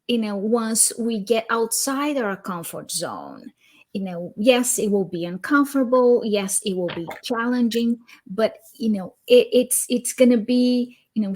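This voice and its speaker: Slow-speaking Brazilian-accented woman. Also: fairly high pitch. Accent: Brazilian Portuguese. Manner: thoughtful, repeats words while searching. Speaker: guest, consultant. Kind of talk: interview conversation.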